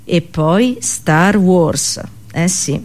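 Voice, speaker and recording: A woman's voice: on the low side, very slow, darkish tone. A woman performing a comic theatrical monologue for radio, colloquial and sarcastic, full of exaggerated rhetorical questions.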